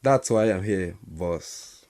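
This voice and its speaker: A man's voice: low voice, lively intonation, slow pace, very noisy, exaggerated voice. A Nigerian man acting a loyal, frank aide to a company boss in a radio drama. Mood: warm deference.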